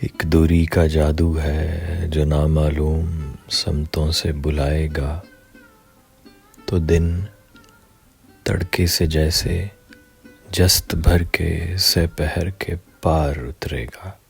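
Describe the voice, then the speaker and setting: A man reciting poetry in a measured, deliberate, dramatic way, pausing between short phrases. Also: a bass voice, flat delivery, vocal fry, faint music behind.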